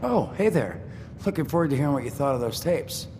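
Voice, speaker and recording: An actor playing an eager, casual small-time instructor in a video game, chatty, a little hesitant and hoping for approval. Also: male voice, big pitch swings, a little fast. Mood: eager, friendly. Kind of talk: video game character dialogue. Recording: long reverb with rumbling noise.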